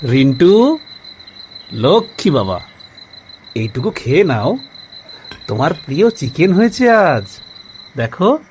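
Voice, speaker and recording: A man plays a coaxing, slippery father in an audio drama. His voice is fairly high and swings widely in pitch, with faint insect noise behind. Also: big pitch swings, slow, pauses often, a little mellow. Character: evasive, coaxing father of a young boy.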